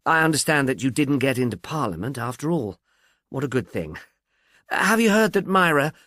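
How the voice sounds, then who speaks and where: A man's voice: medium pitch, very animated, normal speed, bright, forceful tone, dry recording, studio quality, voice pushed up. A man voicing an amiable, slightly obtuse police detective in an audiobook, chatty and musing, earnestly puzzled. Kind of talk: audiobook character dialogue.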